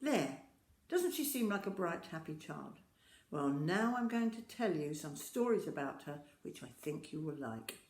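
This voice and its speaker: An older woman tells a children's story in a fairly low voice. Her pitch moves up and down in a very lively way as she addresses little listeners directly. The room adds a noticeable echo. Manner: addresses young listeners directly, deliberate and expressive. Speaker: storyteller reading aloud to children. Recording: roomy, echoing home-style recording.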